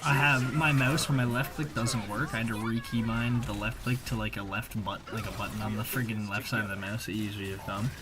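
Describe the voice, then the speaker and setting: A man's voice: on the low side, fairly even intonation, a little fast, hardly any pauses. A man giving casual, slangy, conversational voice-over commentary on video gameplay, as a gaming video creator.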